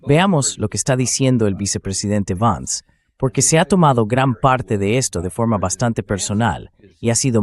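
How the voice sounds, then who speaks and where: A man's voice: on the low side, darkish tone. A man's synthetic AI voice reading a translated political commentary as a voice-over.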